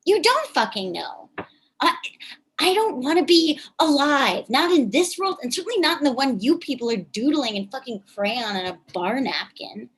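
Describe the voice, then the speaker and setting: A woman's voice: sits high, sing-song, moderate pace, slightly rough, heavily acted. A woman playing a bitter, exasperated, sweary activist in a live staged play reading. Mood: angry, despairing. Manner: sweary, biting.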